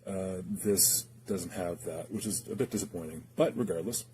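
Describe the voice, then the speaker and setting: A man's voice: low-pitched, moderate pace, noisy background. A man giving a casual, unscripted gear demonstration, speaking hesitantly and thinking aloud as he goes. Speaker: gear reviewer demonstrating a guitar effects pedal. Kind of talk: casual, unscripted product demo talk.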